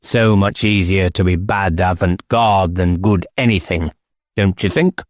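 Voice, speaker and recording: A man's voice: low voice, a little slow, no room sound, studio quality. A man voicing an evasive, whimsical English country squire in an audiobook, with airy, affected manner and clipped phrases. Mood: airy, teasing. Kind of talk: audiobook character dialogue.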